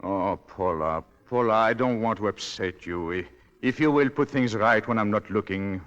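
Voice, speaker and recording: An actor playing a suave, manipulative husband in 1940s radio drama style, condescending and commanding by turns. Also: male voice, lowish voice, normal speed, a bit bright, dull audio, natural voice. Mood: smooth, condescending. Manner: smoothly condescending, shifting to stern commands. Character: suave, controlling, manipulative husband and master of the house.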